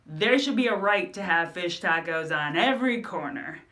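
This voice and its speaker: A woman's voice: on the low side, lively intonation, moderate pace, pushed and bright, pitched below the performer's own voice. A woman voicing a male customer who pleads at the counter for fish tacos. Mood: pleading.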